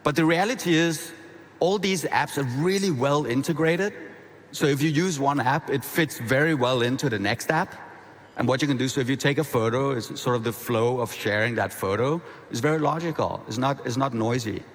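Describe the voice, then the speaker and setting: A man's voice: mid-range voice, normal speed. Danish-accented man giving a keynote. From half Danish. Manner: conversational, energetic with repeated restarts. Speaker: keynote speaker. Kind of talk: conference keynote talk. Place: large conference hall. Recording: reverberant hall.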